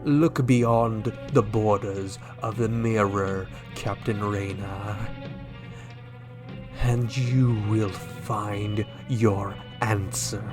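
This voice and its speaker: A man playing a scheming, coolly menacing alien ambassador in a sci-fi radio drama, formal, deliberate and insinuating. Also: fairly low pitch, steady tone, music in the background. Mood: smugly menacing. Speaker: voice actor.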